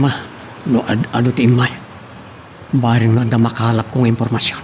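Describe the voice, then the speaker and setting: A man with an Ilocano accent playing a calm, analytical man in a radio drama. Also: mid-range voice, noisy background, natural voice. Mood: pensive.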